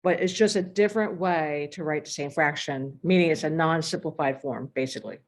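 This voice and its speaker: A woman teaching a math lesson while losing her voice, clearing her throat and walking through examples step by step. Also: low voice, a little fast.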